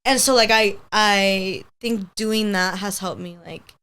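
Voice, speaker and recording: A woman's voice: mid-range voice, takes it slowly, sharp tone, clear, resonant tone. A young woman in her twenties whose first language is Spanish, chatting casually as a podcast guest and filling her talk with "like". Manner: rambling, heavy use of "like", upbeat. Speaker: podcast guest, hair stylist. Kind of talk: casual chat.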